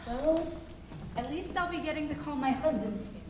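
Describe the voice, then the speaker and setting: A woman's voice: sits high, animated, normal speed, noisy background. A woman playing a haughty, irritated politician's wife in jail, curt and exasperated, in a staged reading. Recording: echoey stage from a distance.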